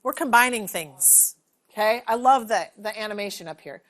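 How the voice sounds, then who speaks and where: A woman's voice: mid-range voice, animated, normal speed, a bit bright. A woman in her forties leading a hands-on classroom demonstration, asking the room questions and checking in with "okay?". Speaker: teacher trainer. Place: large training room.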